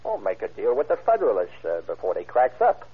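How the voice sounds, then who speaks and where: An actor playing a cocky, hard-boiled American crook and self-styled general, talking defiant slang in an old-time radio crime drama. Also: male voice, on the low side, wildly swooping pitch, fairly quick, slightly rough, exaggerated voice. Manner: slangy, defiant tough-guy talk. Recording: muffled, tinny old radio recording.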